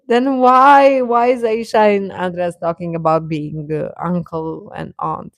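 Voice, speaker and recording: A woman's voice: fairly low pitch, dry recording. Chatty woman livestreamer with a dry, close sound. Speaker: livestream host. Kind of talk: casual chat with live viewers.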